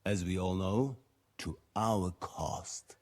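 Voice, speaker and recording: A man playing a shrewd, weary middle-aged lord in a video game, speaking in a formal, measured and commanding manner. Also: low voice, a little mellow. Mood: wry, guarded. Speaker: medieval nobleman. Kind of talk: video game cutscene acting.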